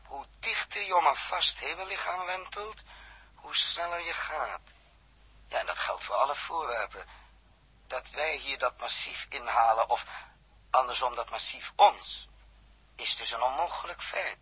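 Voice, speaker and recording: An actor playing a practical, good-natured astronaut crew leader in a 1960s radio drama, talking in a brisk, colloquial way. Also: male voice, medium pitch, pushed and bright, slightly rough, exaggerated voice, rough recording. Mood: relaxed, explaining.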